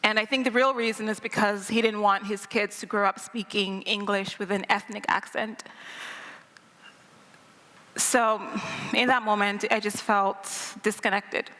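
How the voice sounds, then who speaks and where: A woman's voice: mid-range voice, steady tone, measured pace, bright, forceful tone. A young woman from Nigeria telling a personal story live on stage, in a wry, conversational manner. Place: old church used as a theater stage. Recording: reverberant church hall, live.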